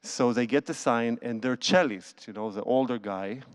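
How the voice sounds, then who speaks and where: A man's voice: mid-range voice, moderate pace, clean tone. A conductor and cellist talking to a concert audience from a church stage into a handheld microphone. He tells stories casually, with non-native phrasing and restarts.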